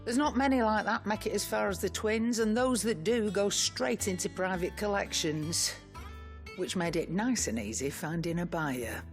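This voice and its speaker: An actress playing a brusque, shrewd, teasing trader in a fantasy video game, blunt and wry, with a British accent. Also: female voice, mid-range voice, moderate pace, faint music behind, close to an everyday voice. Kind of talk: dramatic video game dialogue.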